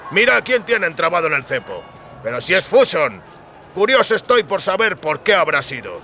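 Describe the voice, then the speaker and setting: A man's voice: fairly high pitch, sing-song, normal speed, sharp tone, faint music behind, pitched above the performer's own voice, full character voice. A man voicing a brash, jovial mercenary soldier with a hearty, folksy way of talking in an audiobook. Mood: amused, brash. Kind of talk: audiobook character acting.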